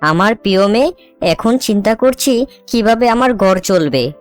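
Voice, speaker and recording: A woman's voice: mid-range voice, animated, measured pace, soft background music, close to an everyday voice. Woman voicing a plaintive, sorrowful mother bird. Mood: sad, worried. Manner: plaintive, earnest. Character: sorrowful, hardworking mother bird, wronged but righteous. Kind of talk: children's cartoon voice acting.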